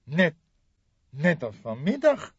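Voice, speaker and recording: A man's voice: fairly high pitch, wildly swooping pitch, takes it slowly, breathy edge, no room sound, muffled recording, pitched above the performer's own voice, exaggerated voice. A man voicing a brash, mocking police photographer in an audiobook crime story. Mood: startled. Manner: sneering, taunting.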